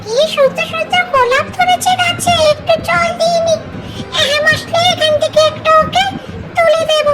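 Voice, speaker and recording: Very high-pitched young wife. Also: female voice, steady tone, moderate pace. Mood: cheerful. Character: sweet, devoted young wife in doll soap. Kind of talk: amateur doll-drama acting. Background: loud music.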